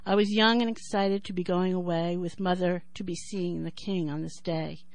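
A woman in her sixties with a New York accent who talks thoughtfully with many pauses and then reads her poem in a measured rhythm. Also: fairly low pitch, steady tone, no room sound. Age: 60s. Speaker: poet and writer. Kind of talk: interview and poetry reading.

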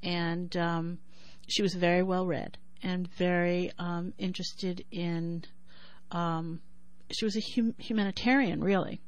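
Older New York woman, low dry voice. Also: fairly even intonation, no echo. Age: 60s. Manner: thoughtful, many "um" pauses, reads verse in a measured rhythm. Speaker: poet and writer. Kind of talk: interview and poetry reading.

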